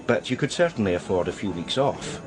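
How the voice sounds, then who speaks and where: Guarded, expressive male art dealer. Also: low voice. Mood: informative, curious. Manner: deliberate, euphemistic, hesitant. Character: male art dealer George, heartbroken, guarded, discreet old friend. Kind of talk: naturalistic radio crime drama acting.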